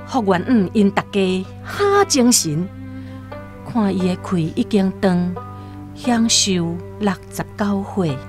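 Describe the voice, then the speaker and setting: Slow, very expressive Taiwanese woman narrator. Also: medium pitch. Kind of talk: expressive scripted story narration. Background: soft plucked-string music.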